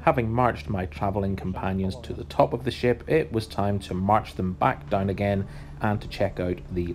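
A man's voice: fairly low pitch, darkish tone, slight background noise. A man in his forties, a travel vlogger, delivering scripted travel narration as host.

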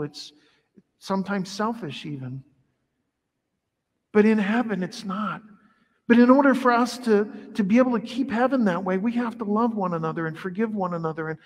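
Man, middle-aged priest, high voice, animated homily, large church, long reverb. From Ireland heritage. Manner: animated, conversational preaching with wide arm gestures. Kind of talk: sermon. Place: large church sanctuary at the altar.

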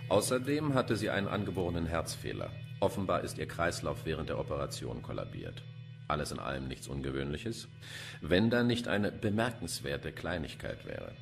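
A very low-pitched older male pathologist in a crime radio play, sardonic and pompous. He talks with dry, theatrical relish, and music plays behind him. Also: sing-song, moderate pace, creaky, full character voice. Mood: sardonic, clinical. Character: sardonic, cynical, poetry-quoting male forensic pathologist. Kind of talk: German crime radio drama acting.

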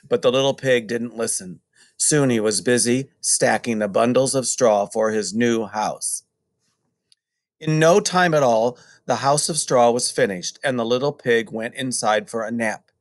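A middle-aged man narrating a children's story expressively, with deliberate, emphatic phrasing. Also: medium pitch, dry recording, clean studio sound. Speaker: storybook reader.

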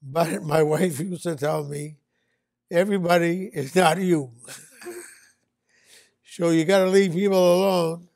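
Slow, gravelly older man. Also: a little high, fairly even intonation, darkish tone, dry recording, clean studio sound. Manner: slow, chuckling, easygoing. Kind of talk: interview reminiscence.

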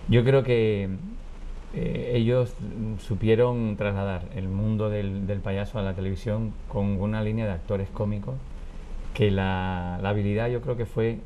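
A man in his fifties, born in Cuba, chatting as an interview guest in a wood-panelled studio, telling family anecdotes with lots of restarts and lively acted quotes. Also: on the low side, a little slow, lo-fi sound, slight background noise. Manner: animated, gestures with hands, many restarts and acted quotes. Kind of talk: relaxed conversational storytelling. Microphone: lapel mic.

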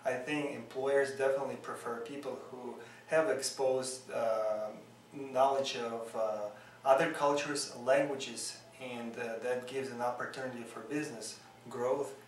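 A man's voice: mid-range voice, steady tone, a little slow, distant mic, slight background noise. Russian-accented man, off-mic in roomy office. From former Soviet Union. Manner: earnest, hesitant with many fillers. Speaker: dentist. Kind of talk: interview answers. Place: dental office reception area, indoors. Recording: roomy echo, off-mic, music behind.

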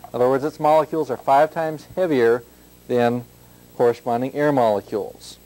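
A man's voice: mid-range voice, steady tone, moderate pace, darkish tone, poor audio. A man, a chemistry professor giving a playful, informal science demonstration. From United States. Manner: playful, jovial. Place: school science classroom.

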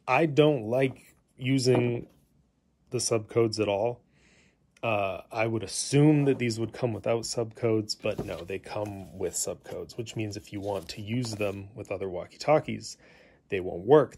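Low-pitched man with a creaky voice. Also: a little mellow. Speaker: hobbyist demonstrating a gadget. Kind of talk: casual unscripted tutorial. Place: indoors in a living room.

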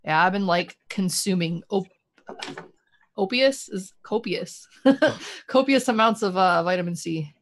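Woman, medium pitch, a little congested, informal online chat. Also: measured pace, a little hiss or hum. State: slightly congested. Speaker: panelist. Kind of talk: casual chat. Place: room at home. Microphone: video call.

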